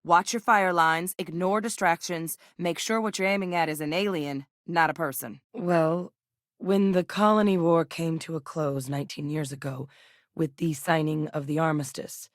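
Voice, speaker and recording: A battle-hardened female veteran turned scientist from a sci-fi video game, acted by a woman. Her voice sits a little low and is captured close and dry. She talks in a clipped, no-nonsense way, matter-of-fact and used to giving orders. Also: fairly even intonation, moderate pace, dry recording, lightly acted. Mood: composed, matter-of-fact. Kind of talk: scripted video game character dialogue.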